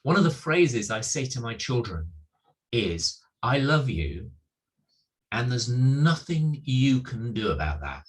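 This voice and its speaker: A man's voice: medium pitch, very animated, slow. A middle-aged man giving an earnest sermon, stressing and repeating his key points emphatically. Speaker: preacher at a church. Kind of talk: sermon, reflecting on a Bible passage.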